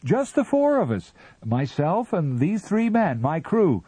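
A man shouting as a frantic, pleading rocket captain. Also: fairly high pitch, huge pitch swings, a little slow, husky, no room sound, voice pushed up, full character voice. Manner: loud, pleading, straining to be heard.

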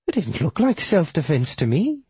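A man voicing a frail elderly Irish widow, fearful yet sharp, with a tiny quavering voice and an Irish accent, in an audiobook. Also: a little high, huge pitch swings, normal speed, slightly rough, no room sound, voice pushed up, heavily acted. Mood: accusing. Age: older.

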